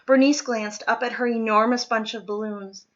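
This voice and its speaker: A woman reading a children's picture book aloud in an expressive, dramatic way, leaning on key words. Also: on the high side, lo-fi sound. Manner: dramatic, deliberate storytelling with stressed key words. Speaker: storybook reader.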